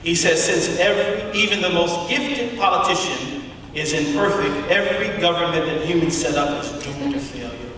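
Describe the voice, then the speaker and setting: A man delivering a sermon, speaking emphatically and deliberately with rhetorical questions and pauses in a large auditorium. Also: on the high side, animated, bright, forceful tone. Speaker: preacher giving a public address. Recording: echoey hall from far off, faint noise.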